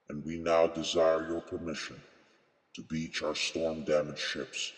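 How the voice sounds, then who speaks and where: A man playing a solemn Trojan envoy. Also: very deep voice. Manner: formal, earnest, pleading. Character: solemn Trojan envoy pleading before a queen. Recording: long hall reverb.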